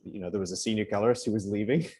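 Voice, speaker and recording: A man's voice: on the low side, very fast, dark tone, breathy edge. A middle-aged man from New Zealand on an online panel, telling his career story in a joking, self-deprecating way. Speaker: colorist panelist. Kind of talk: casual panel talk.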